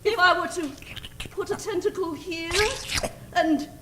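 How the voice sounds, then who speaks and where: A woman's voice: extremely high pitch, steady tone, a little slow. A woman playing a coy, melodramatic female octopus alien posing as a dancer in an old-time radio serial. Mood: sly, seductive. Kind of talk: old-time radio serial acting before a live audience. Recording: roomy stage recording with loud noise.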